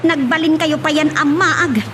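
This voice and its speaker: A woman's voice: high voice, steady tone, normal speed, fairly bright tone. An actress playing an indignant daughter in a radio soap, challenging and reproachful toward her mother. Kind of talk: radio soap drama acting. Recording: background noise.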